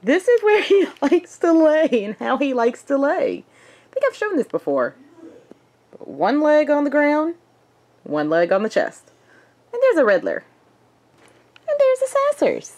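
A young adult woman chatting casually to a handheld camera at arm's length in a small room at home, in a conversational, animated manner. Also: sits high, measured pace, pauses often. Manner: animated, conversational, acts out quotes. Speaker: vlogger.

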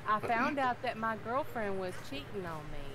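A woman chats with her gaming group over voice chat. Her voice sits a bit high, with a wry, deadpan delivery. Steady background noise runs under her line. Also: moderate pace. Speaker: raid group member on voice chat. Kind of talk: casual voice chat while gaming.

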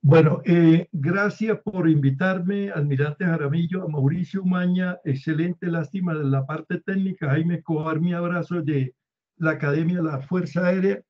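High-voiced man, formal point-by-point commentator, dull call audio. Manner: brisk, enumerates points. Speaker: audience member commenting. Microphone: video call.

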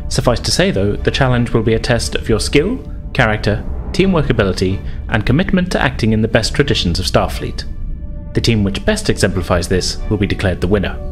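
A man playing a formal, authoritative starship officer who briefs ensigns in measured wording in a tabletop game. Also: fairly low pitch, very animated, soft background music. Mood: formal, composed.

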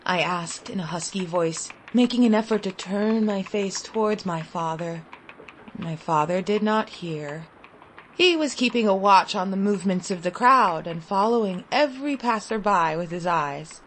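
A woman narrating a literary short story in a deliberate, expressive, dramatic way with long pauses. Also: medium pitch, slight background noise. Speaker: audiobook story reader.

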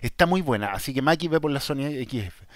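A man with a Chilean accent hosting a casual vlog, talking to viewers in a direct, emphatic and somewhat halting way. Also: medium pitch, expressive, slight background noise. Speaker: YouTube channel host. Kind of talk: casual vlog talk, answering viewer questions.

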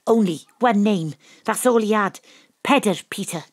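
A woman's voice: medium pitch, moderate pace, dry recording, studio quality, close to an everyday voice. A woman playing a wry, self-mocking private detective in an audio drama, narrating theatrically. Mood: urgent, excited. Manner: theatrical, relishing alliteration.

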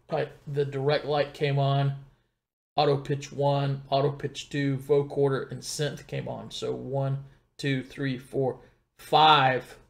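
Middle-aged male tech presenter, mid-range voice, informal step-by-step explaining, pauses to think as he goes. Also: mid-range voice, creaky. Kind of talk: casual step-by-step gear tutorial. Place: home computer desk in a wood-panelled room. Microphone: desktop condenser mic about two feet away.

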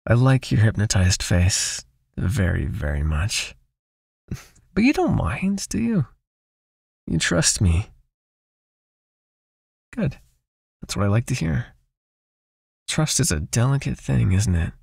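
A man playing a seductive, possessive vampire hypnotist in an intimate ASMR roleplay, soothing and teasing as he gently commands. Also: medium pitch, wildly swooping pitch, slow pace, gravelly, pauses often, slightly rough, no room sound, studio quality, full character voice. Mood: devoted, possessive.

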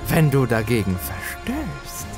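A man voicing a gloating, manipulative older villain in a horror story, with a taunting, drawn-out delivery. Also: medium pitch, huge pitch swings, over music, voice pushed up. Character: sinister mocking older man, gloating manipulative dealmaker with supernatural power.

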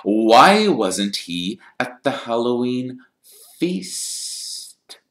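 A man narrator puts on a sly, sneering male teacher in a storybook. He speaks very slowly and deliberately, insinuating guilt, with the room echoing around him. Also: medium pitch, sing-song, a bit bright, voice pushed up, full character voice. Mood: silky, suspicious. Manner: slow, drawn-out, insinuating. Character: cold, sneering male schoolteacher, slyly suspicious.

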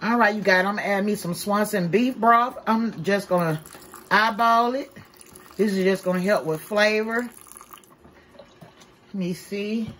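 A woman's voice: medium pitch, unhurried, long pauses, fairly bright tone, slight background noise. A woman, chatting casually to viewers as she cooks in her home kitchen, calling them y'all. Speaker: vlogger. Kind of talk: casual cook-with-me vlog. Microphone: camera held by someone else.

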